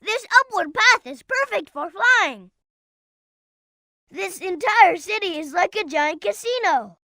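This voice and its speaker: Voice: animated, takes it slowly, pushed and bright, no room sound, clean studio sound, full character voice. A performer voicing an earnest, eager little boy fox sidekick in a video game, with a childlike voice. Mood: curious. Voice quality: high, childlike.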